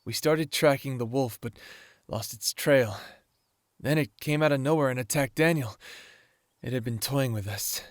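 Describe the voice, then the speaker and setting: A man plays an easygoing werewolf in an audio roleplay. His voice is middle-pitched, and he talks in a low-key, matter-of-fact way. The recording is close, with little room sound. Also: moderate pace, dry recording, lightly acted. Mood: serious. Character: easygoing, understated male werewolf.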